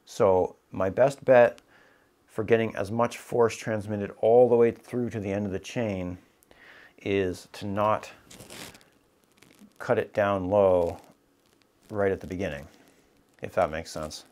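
Lower-pitched man, patient instructor talking through a rope demo, brief asides to the viewer. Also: steady tone, slow pace, pauses often, darkish tone. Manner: explanatory, step-by-step, frequent "right?" checks. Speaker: climbing instructor. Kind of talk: tabletop demonstration lesson.

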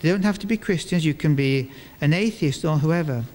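An older man giving a sermon in a large church, speaking into a stand microphone in measured phrases with deliberate pauses. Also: on the high side, darkish tone. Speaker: preacher. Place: large stone church, indoors, before a congregation. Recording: reverberant church hall, clear background noise.

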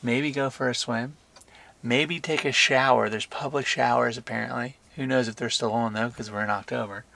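A man's voice: mid-range voice, fairly even intonation, moderate pace, gravelly, sharp tone. A young man casually chatting to camera as a travel vlog host, laid-back and enthusiastic with informal slang.

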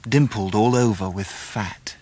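A grown gentleman narrating his youth in a radio drama. He is measured and wry, in a lowish voice recorded very dry. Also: husky, no echo. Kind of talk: scripted literary narration.

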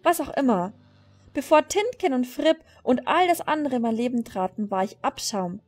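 A woman reads out a young female rider in a fantasy horse game, bitter and weighed down by guilt. The delivery is dramatic and brooding in a fairly high voice, with music behind it. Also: lively intonation, normal speed, a little mellow. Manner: dramatic, brooding read-aloud confession.

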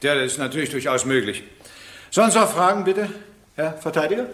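An actor playing a stern, formal presiding judge in a radio crime drama, speaking with authority. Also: male voice, fairly high pitch, lively intonation, fairly quick, fairly bright tone. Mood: measured. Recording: roomy, echoing courtroom sound.

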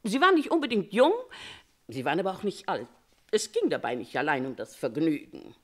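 A Paris concierge in a radio crime drama, a woman who loves to gossip. Her voice sits a little low but swings widely up and down as she confides her firm opinions. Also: huge pitch swings, brisk, exaggerated voice. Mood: gossipy, knowing. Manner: chatty, confiding, opinionated storytelling. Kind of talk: German radio crime play acting.

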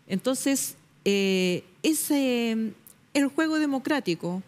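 A middle-aged woman journalist arguing her point on a television panel debate, emphatic and pausing often with fillers, recorded on a lapel mic in a studio. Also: a little high, lively intonation. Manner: emphatic, searching for words with many fillers.

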